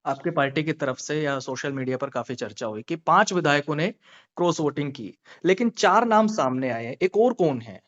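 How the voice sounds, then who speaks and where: A male journalist with a slightly high-pitched voice conducts a political interview on a dull call recording. He presses his questions persistently, restating them in new words. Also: animated, moderate pace, a bit bright.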